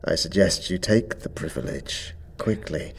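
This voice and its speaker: Man playing a slow, stern undead high priest. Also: slight background noise. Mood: grave, weary. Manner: solemn, imperious, archaic formal diction. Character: stern, commanding undead priest leader, archaic and righteous.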